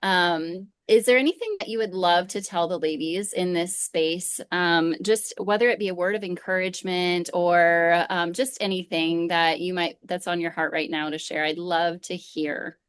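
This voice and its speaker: A woman with a lowish, smooth and resonant voice hosts a roundtable in a measured way, pausing on soft fillers. The sound is dry and close. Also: steady tone, no echo. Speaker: co-host. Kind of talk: hosting a live roundtable.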